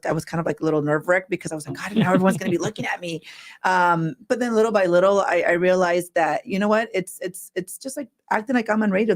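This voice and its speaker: Co-host, woman, middle-aged, raspy and loud, rapid delivery, laughs easily. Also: fairly low pitch. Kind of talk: casual live stream chat.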